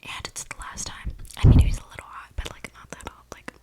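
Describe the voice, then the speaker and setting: A woman playing an eccentric, flirty female vampire in a whispered ASMR role-play, rambling casually in breathy slang with audible breaths close to the mic. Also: quick pace, natural voice. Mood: amused exasperation. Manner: slangy, gossipy, conspiratorial, rambling. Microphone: close ASMR microphone.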